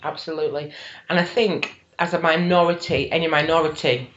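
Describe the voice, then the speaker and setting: A woman's voice: sits low, fairly even intonation, fairly quick. A woman in her forties talking emphatically in a casual group podcast discussion. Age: 40s. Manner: emphatic, agreeing. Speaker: podcast participant. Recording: roomy, dull lo-fi recording.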